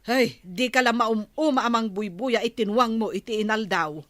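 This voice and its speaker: A woman's voice: on the high side, normal speed, fairly bright tone, dry recording, close to an everyday voice. A woman playing a scolding, exasperated village woman in an audio drama, with an Ilocano accent.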